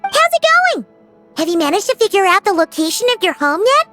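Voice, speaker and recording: A woman voicing a small, cheerful childlike companion in an anime-style video game, squeaky, eager and earnest. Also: a little fast, heavily acted. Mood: chirpy. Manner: eager, chirpy, expressive. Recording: loud music behind the voice. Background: game music.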